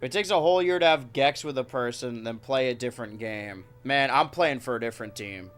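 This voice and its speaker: A man's voice: medium pitch, fairly quick, fairly bright tone, clear, resonant tone, faint music behind. A man live-streaming from home with a stuffed-up nose, chatting and joking with his viewers and his cats. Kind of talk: casual live-stream chat.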